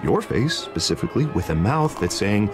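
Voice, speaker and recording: Glib American politician over music. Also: male voice, lowish voice, sing-song, moderate pace. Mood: coaxing, earnest. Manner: polished, jovial speechmaking. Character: glib, upbeat district attorney running for mayor. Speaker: politician campaigning for mayor. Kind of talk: video game drama acting. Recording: music behind the voice.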